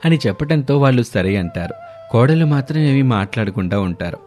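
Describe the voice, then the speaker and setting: A man's voice: medium pitch, animated, fairly quick, darkish tone. A man reading a scripted children's story as its narrator. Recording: music under the voice. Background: background music.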